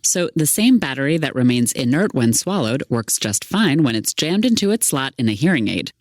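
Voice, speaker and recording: A man's voice: mid-range voice, very animated, moderate pace, no echo, clean studio sound. A man reading a scripted science report as a narrator.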